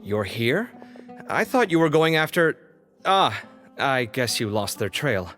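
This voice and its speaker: A man voicing a talkative, expressive young male researcher in a video game, explaining things conversationally. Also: medium pitch, unhurried. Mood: exasperated. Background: background music.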